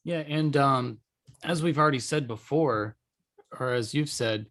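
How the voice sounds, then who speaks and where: A man hosting a podcast in casual discussion, with a halting, hesitant way of putting his points. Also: mid-range voice, fairly bright tone. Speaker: podcast host.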